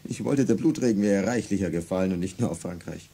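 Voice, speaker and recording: An actor playing a bitter, sardonic peasant revolutionary in a German radio drama, reciting a cover story. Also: male voice, deep voice, fast, dark tone, breathy edge. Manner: sardonic, rehearsing a role aloud.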